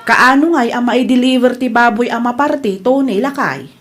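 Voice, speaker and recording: A woman's voice: a little high, expressive, moderate pace, heavy background noise, lightly acted. A woman with an Ilocano accent playing an evasive married woman hosting a family feast in a radio drama. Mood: brisk, impatient. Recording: busy crowd sound behind voice.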